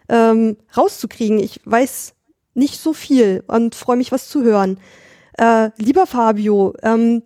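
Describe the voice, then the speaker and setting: Woman podcast host asking stuff, mellow voice, dry sound. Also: a little high, no echo. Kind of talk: casual interview.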